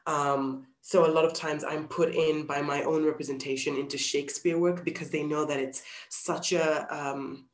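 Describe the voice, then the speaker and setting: A woman's voice: low voice, steady tone, moderate pace, few pauses. A woman in her thirties from Zambia, an actor giving thoughtful interview answers over a video call. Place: small plain-walled room indoors. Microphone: computer mic on video call. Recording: roomy, echoey video call.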